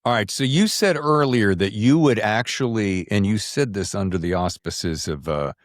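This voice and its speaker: A man's voice: on the low side, animated, normal speed, no room sound, clean studio sound. A man hosting a podcast in a casual, chatty, jokey and informal way. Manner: jokey, informal, mildly profane. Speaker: podcast host. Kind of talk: casual, chatty show introduction and interview. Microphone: standalone microphone.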